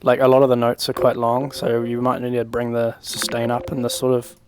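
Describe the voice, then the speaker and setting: A man's voice: mid-range voice, monotone, a little fast, noisy background. A young man giving a casual music production tutorial in an informal, improvised way, thinking aloud as he works. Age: young adult. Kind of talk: casual screen-recorded software tutorial. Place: indoor room at home. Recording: loud electronic synth music over voice. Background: loud synth and beat playback.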